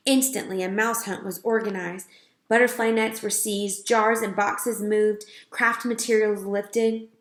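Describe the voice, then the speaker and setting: A woman reading a children's storybook aloud in a clear, expressive way. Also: medium pitch, a little slow. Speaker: read-aloud reader. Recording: roomy echo.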